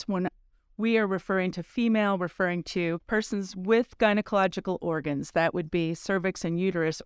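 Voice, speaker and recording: A woman doctor explains medical terms on a podcast, careful, measured and precise. Her voice is fairly low and strikingly crisp and resonant, and it sounds dry and close to the mic. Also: no room sound.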